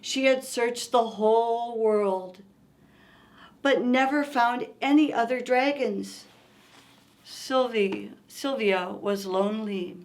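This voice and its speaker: Slow-paced woman reading to little kids. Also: fairly high pitch. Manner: slow, expressive, coaxing with questions to young listeners. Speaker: children's storytime host. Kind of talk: reading a picture book aloud to young children, asking questions.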